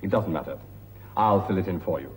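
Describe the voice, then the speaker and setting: An actor playing a polite, patient British immigration officer who helps a traveller fill in a form. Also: male voice, deep voice, a little fast, big-room sound, a little hiss or hum, close to an everyday voice. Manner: courteous, patient, formal.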